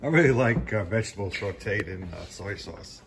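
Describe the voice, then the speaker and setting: A man's voice: on the low side, animated, moderate pace. A man co-hosting a cooking video in a home kitchen, chatting casually with appreciative, informal comments. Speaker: co-host of cooking show. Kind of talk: casual chat, short approving comments. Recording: clear sizzling and kitchen noise. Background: frying, sizzling, stirring.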